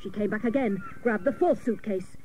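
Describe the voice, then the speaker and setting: An actress playing a hapless, literal-minded female private detective, narrating a comic radio serial with mock-dramatic seriousness and deadpan jokes. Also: mid-range voice, moderate pace, lightly acted. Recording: noisy old cassette of radio broadcast.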